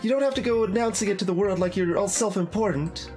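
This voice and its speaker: A man plays a prickly teenage anime girl from a visual novel, pitched up well above his own voice. The delivery is huffy and petulant, defensive and easily flustered, with faint music behind. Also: fast, a little mellow, natural voice. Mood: flustered, indignant.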